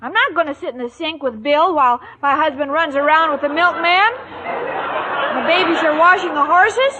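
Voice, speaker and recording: A woman's voice: high voice, moderate pace, a crowd in the background, noisy background, natural voice. A woman acting a naive, scatterbrained, lovestruck young woman in a 1940s radio sitcom. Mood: flustered, earnest. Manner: naive, earnest, muddles her words. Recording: dull old radio recording, studio audience.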